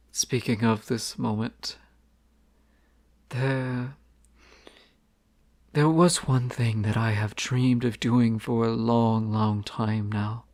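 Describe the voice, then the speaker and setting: A man voicing a bashful young crown prince in an intimate fantasy audio drama. He speaks at an unhurried, lingering pace, tender and lovestruck. His delivery stays soft and close, often slipping into a hushed whisper. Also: medium pitch, steady tone. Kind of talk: romantic audio roleplay.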